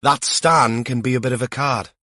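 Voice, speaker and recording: A man's voice: mid-range voice, steady tone, normal speed, pushed and bright, dry recording, clean studio sound, voice pushed up, close to an everyday voice. A man voicing a junior police detective, curious and eager, with a blunt, colloquial manner, in an audiobook.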